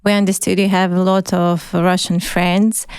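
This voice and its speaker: Smooth-voiced man interpreting live. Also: high-pitched, flat delivery, no room sound. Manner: translates in first person, careful, slowed English. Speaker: co-host and interpreter. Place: radio studio.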